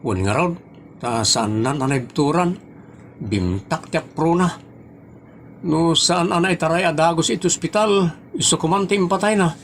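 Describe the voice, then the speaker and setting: A man's voice: fairly high pitch, moderate pace, a little hiss or hum, close to an everyday voice. A man playing a skeptical, worried villager and son with an Ilocano accent in a comedy audio drama.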